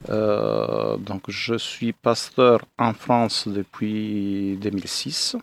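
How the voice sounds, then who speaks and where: A man's voice: on the low side, lively intonation, drawn-out words, creaky. A 57-year-old man from Italy speaking as a pastor in a radio interview, often hesitating as he searches for words.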